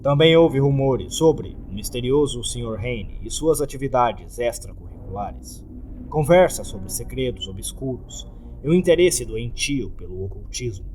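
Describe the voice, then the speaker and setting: A Brazilian man voicing a gloomy, courteous hotel keeper in a formal, measured, scripted horror narration. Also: medium pitch, expressive, a little mellow, a little hiss or hum, close to an everyday voice, poor audio. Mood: ominous, formal. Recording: music under the voice.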